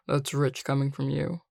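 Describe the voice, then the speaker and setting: Woman voicing a low, sardonic, grumpy young man. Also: gravelly, a little mellow, no echo, clean studio sound, heavily acted. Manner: curt, sarcastic, exasperated sighing. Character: grumpy, sardonic young male tsundere coworker. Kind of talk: scripted audio roleplay acting.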